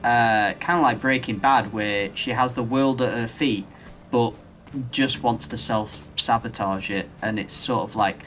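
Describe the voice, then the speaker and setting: A man of medium pitch hosts a relaxed podcast conversation. He rambles with enthusiasm and works through his thoughts aloud. Faint music plays underneath. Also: unhurried. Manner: rambling, enthusiastic, thinks out loud. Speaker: podcast host. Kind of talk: casual podcast chat.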